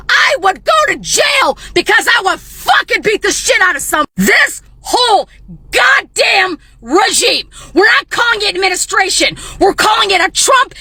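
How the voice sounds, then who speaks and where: Hoarse furious woman shouting a rant. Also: very high voice. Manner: furious profane shouting, voice cracking near tears. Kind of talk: angry political rant to camera.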